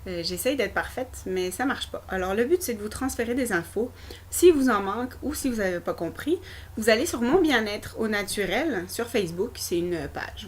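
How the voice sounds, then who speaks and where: A woman's voice: medium pitch, a little hiss or hum. A woman with a Québécois accent, casually presenting a product straight to her viewers in a chatty, informal way. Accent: Québécois French. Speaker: essential oil presenter. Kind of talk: casual product demo vlog.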